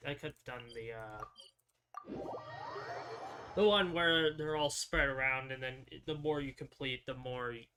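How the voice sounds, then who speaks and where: A young man giving casual gameplay commentary, muttering to himself in halting, half-finished thoughts. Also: a little high, pushed and bright, a little hiss or hum. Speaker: gamer playing on a stream or recording. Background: game music.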